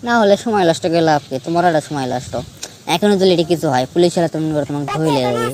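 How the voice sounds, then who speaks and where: A man's voice: on the high side, natural voice. A man with a northern Bangladeshi accent playing an exasperated, scolding village friend in a cartoon skit. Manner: scolding, lecturing. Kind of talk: colloquial dialogue in a cartoon skit.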